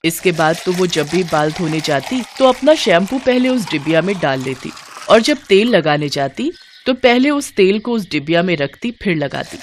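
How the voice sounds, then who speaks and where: A woman's voice: medium pitch, noisy background. A woman narrating a scripted children's bedtime story as storyteller, with music behind her.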